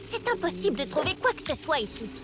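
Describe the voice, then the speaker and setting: A woman voicing an earnest, determined young girl in a cartoon, expressive and emphatic. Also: sits high, quick pace, background noise. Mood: concerned. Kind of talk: French animated series voice acting.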